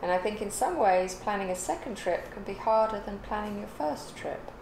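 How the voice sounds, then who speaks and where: A woman's voice: mid-range voice, expressive, normal speed. An older woman chatting casually as a livestream guest, rambling thoughtfully and correcting herself as she goes. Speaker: guest, the host's mother. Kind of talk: casual chat on a craft livestream. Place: living room or home craft room. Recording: echoey room, clear background noise.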